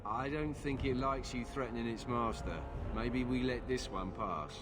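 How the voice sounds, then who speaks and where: An actor playing a cowardly gang henchman in a video game, nervous and excitable. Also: mid-range voice, moderate pace, over loud music, lightly acted. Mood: uneasy. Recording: loud background noise.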